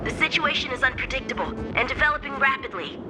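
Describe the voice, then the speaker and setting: A woman's voice: high voice, lively intonation, fast, rough recording. A woman voicing an earnest, dutiful young boy swordsman in a video game, formal and self-assured. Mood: formal, urgent. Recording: game music behind the voice.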